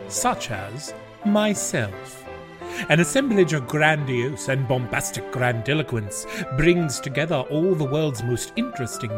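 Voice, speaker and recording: A man reading scripted podcast announcements and promos. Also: medium pitch. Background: music.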